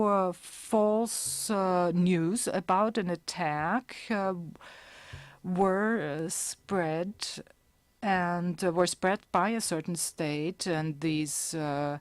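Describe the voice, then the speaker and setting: A woman giving a hesitant statement at a conference, pausing often with fillers. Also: lowish voice, no room sound. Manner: halting, many fillers, speaks as a non-native. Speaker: conference participant.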